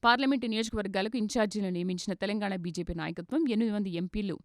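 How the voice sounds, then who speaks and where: A woman delivers scripted news in a formal register. She moves quickly through each item at a medium pitch. The sound is very dry, with no room echo. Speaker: news reader. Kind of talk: scripted news bulletin narration.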